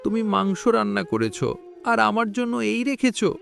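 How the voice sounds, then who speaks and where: A man's voice: sits high, sing-song, brisk, creaky, fairly bright tone, faint music behind, full character voice. A man voicing a mistreated poor cowherd boy in a cartoon story, pleading in a hurt, plaintive way.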